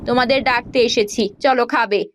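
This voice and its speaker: Cartoon village mother, caring and hardworking, high female voice by a voice actress, faint noise behind. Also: steady tone, brisk, natural voice. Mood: busy. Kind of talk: Bengali fairy tale cartoon dubbing.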